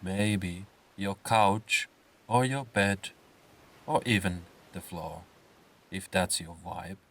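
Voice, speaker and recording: Male meditation narrator: deep register, very slow pacing, soothing scripted delivery, sounding a little distant from the mic. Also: steady tone, creaky, fairly bright tone. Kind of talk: slow scripted guided meditation with comic swearing.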